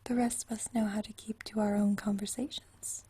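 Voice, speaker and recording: A woman playing an ageless, genteel female vampire in an ASMR roleplay, soft and breathy, teasing her friend with languid, dry wit. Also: medium pitch, level tone, a little fast. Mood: wry, affectionate. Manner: teasing, dryly witty, languid, genteel turns of phrase. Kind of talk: ASMR roleplay, intimate one-sided conversation.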